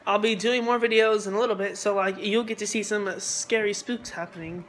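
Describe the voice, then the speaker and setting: A man's voice: sits high, fairly even intonation, fairly quick, fairly bright tone, slight background noise, low-quality recording. A young man chatting casually into his phone camera for a vlog, mumbling and trailing off, tired. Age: young adult. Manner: mumbly, trailing off, lots of ums. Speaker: vlogger. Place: car, mall, home. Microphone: phone at arm's length.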